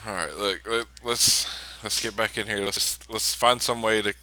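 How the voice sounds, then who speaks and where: A man's voice: fairly low pitch, steady tone, normal speed, bright, forceful tone. A man playing a tabletop role-playing game, speaking casually in and out of character.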